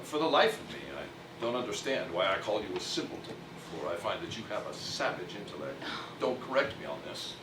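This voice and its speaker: A man's voice: lowish voice, very animated, creaky, background noise. Man acting a proud, wordy toy merchant on stage. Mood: haughty, wry. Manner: formal, wordy, halting. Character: gruff, proud toy merchant turning sheepishly soft-hearted. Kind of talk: theatrical stage musical acting. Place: theatre stage, distant microphone. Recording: echoey stage recording from far off, music behind.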